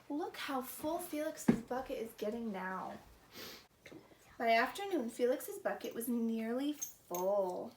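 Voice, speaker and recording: A woman hosting a story time for young children, talking in simple, animated, exclaiming phrases. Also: a little high, slow pace. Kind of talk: expressive read-aloud to preschool children. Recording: roomy echo, distant mic, background noise.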